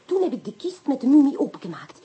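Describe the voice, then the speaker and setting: A woman playing a self-assured, coquettish Frenchwoman sleuth with a French accent and husky voice in a radio play. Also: high voice, big pitch swings, fast, warm tone, highs cut off. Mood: recounting. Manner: coquettish, sprinkles in French phrases. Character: clever Frenchwoman, self-assured amateur sleuth, fond of the inspector. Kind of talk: Dutch radio play acting.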